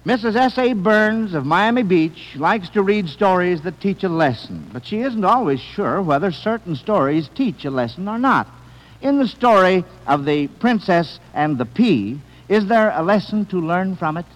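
A man's voice: sits high, lively intonation, normal speed. A man hosting an old-time radio quiz, talking to children in a folksy, encouraging way, on a vintage recording. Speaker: quiz show host. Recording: old radio recording, reverberant hall, background noise.

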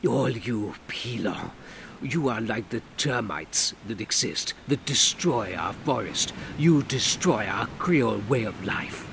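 A man's voice: fairly low pitch, animated, slow, vocal fry, noisy background, heavily acted. A man voicing a middle-aged Creole military veteran, loyal and violent, who spits menacing, contemptuous threats.

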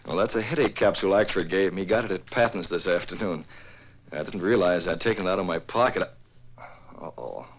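An actor playing a resolute, shrewd gentleman vigilante in a 1940s radio drama, with an assured, confiding manner. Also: deep voice, lively intonation, a little fast, lo-fi sound. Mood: thoughtful. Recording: faintly noisy old radio recording.

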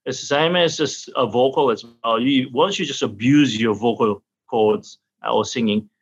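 A middle-aged opera singer from South Korea, answering interview questions in halting English and laughing often. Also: male voice, mid-range voice, breathy edge, muffled recording. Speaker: opera singer and vocal teacher.

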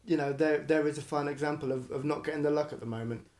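A man's voice: a little high, steady tone, fast talker, darkish tone. A man co-hosting a football podcast in casual conversation. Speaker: podcast co-host. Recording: roomy, echoey voice pickup.

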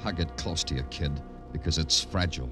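An actor playing a world-weary American police detective in a 1950s radio crime drama. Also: male voice, a bass voice, fairly quick. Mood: brooding. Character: world-weary, hard-boiled male New York police detective. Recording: vintage radio broadcast, music behind.

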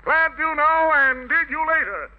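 A very high-voiced American man emcees a 1940s radio variety show with showy, playful hepcat slang. The sound is a dull, aged broadcast recording. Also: lively intonation, a little slow. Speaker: master of ceremonies.

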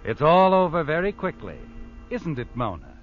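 Casting: male mystery narrator, 1940s radio, insinuating, big pitch swings, dull archival sound with music behind. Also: very animated, moderate pace. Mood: knowing, ominous. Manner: insinuating, dramatic. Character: knowing, accusing male narrator addressing the culprit. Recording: muffled old radio recording.

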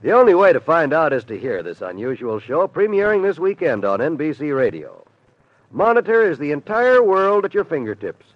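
American male radio announcer, highly animated, polished, emphatic, dull dry vintage recording, 1950s style. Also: dry recording. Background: music.

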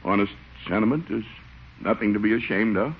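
An actor playing a sentimental, easily swayed small-town police chief in an old radio comedy, blustery and earnest. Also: male voice, fairly low pitch, fairly quick, close to an everyday voice. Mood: tearful, sentimental. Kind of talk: 1940s radio sitcom acting. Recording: muffled, noisy old radio recording.

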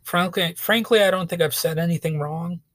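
A man with a fairly high voice rants sarcastically through a solo podcast, stumbling over fillers and restarts as he goes. His voice is a touch hoarse from a cold. The sound is close and dry, with no room around it. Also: normal speed, fairly bright tone, dry recording. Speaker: podcast host. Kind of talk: solo opinion podcast monologue.

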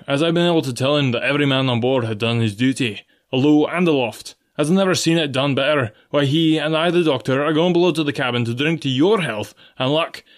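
A narrator acts out a male ship's captain from an adventure storybook. He projects loudly at a raised pitch, formal and firm, as a man used to giving orders. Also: natural voice. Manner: formal, authoritative.

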